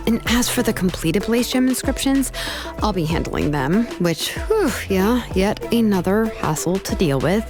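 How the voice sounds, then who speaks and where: An actress playing a kind, laid-back young craftswoman in a video game, with a casual, reassuring manner. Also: medium pitch. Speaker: game character.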